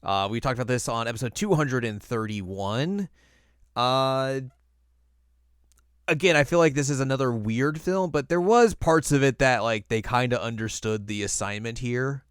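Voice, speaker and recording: A man's voice: medium pitch, normal speed, clean tone, dry recording. A man co-hosting a podcast, chatting casually and informally about films with some dry humor. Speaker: podcast co-host. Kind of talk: casual film review chat.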